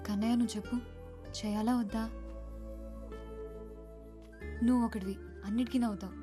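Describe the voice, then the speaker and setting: A woman's voice: mid-range voice, fairly even intonation, rapid-fire, natural voice. An actress playing a shy but teasing young woman from a village, talking on the phone in film dialogue. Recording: film soundtrack with music behind.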